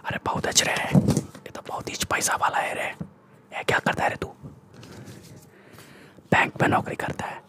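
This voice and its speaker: A young man playing a swaggering, bullying Mumbai gangster in a breathy ASMR whisper, with slangy, boastful Mumbai Hindi speech, close to the microphone. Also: moderate pace, pauses often, close to an everyday voice. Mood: scheming. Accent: Mumbai Hindi street slang. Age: young adult. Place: small room indoors. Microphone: close desktop condenser microphone.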